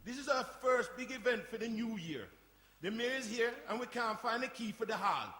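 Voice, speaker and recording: A man's voice: very high voice, lively intonation, normal speed, pushed and bright, exaggerated voice. A man playing a flustered, deferential community centre worker, anxious and placating, in a live radio play. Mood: worried. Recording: echoey live room with faint noise.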